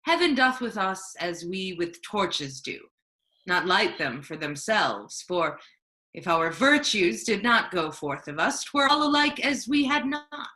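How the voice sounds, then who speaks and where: A woman playing a grave, courteous male ruler in a staged Shakespeare reading, formal and measured in phrasing. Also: medium pitch, very animated, bright, forceful tone. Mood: solemn, stately. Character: grave, courteous male ruler handing over his power.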